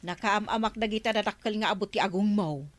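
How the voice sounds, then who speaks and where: A woman's voice: mid-range voice, brisk, no room sound, natural voice. An actress playing a sassy, mocking village woman in a Filipino comedy drama, with an Ilocano accent. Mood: scornful, puzzled. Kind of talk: broadcast comedy drama acting.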